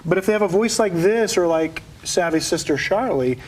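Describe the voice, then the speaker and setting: High-voiced man promoting an opera festival. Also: expressive, normal speed, a little mellow, a little hiss or hum, low-quality recording. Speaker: opera festival executive director. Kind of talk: morning TV interview guest.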